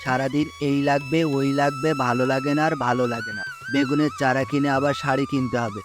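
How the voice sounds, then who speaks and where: A man's voice: medium pitch, steady tone, a little fast, lightly acted. A man voicing a kind-hearted poor farmer in a cartoon, talking patiently and sometimes grumbling in exasperation. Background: background music.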